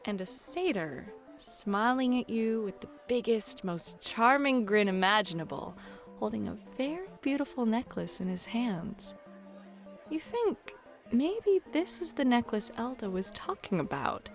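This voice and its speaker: A woman runs a fantasy roleplay as its game master. Her fairly high voice moves expressively up and down as she narrates and teases, over soft background music. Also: sing-song, a little slow. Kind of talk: playful second-person fantasy narration, ASMR roleplay.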